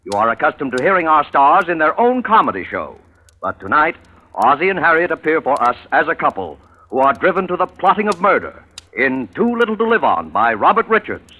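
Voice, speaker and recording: A man's voice: on the high side, sing-song, a little fast, phone-quality sound. A man introducing a radio thriller as announcer, dramatic and declamatory, on a thin old broadcast recording. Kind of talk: show introduction.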